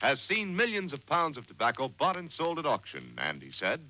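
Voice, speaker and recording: A man's voice: mid-range voice, huge pitch swings, moderate pace, bright, forceful tone, no room sound. An American man delivering a 1940s radio commercial in an emphatic, declarative announcer style.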